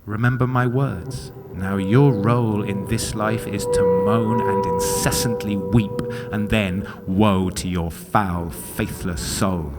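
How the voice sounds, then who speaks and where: Wronged lake maiden male actor, menacing and declamatory, slow delivery, loud music beneath. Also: fairly low pitch, sing-song. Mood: bitter reproach. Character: wronged supernatural maiden of the lake, vengeful and cursing. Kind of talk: dramatic poetry performance.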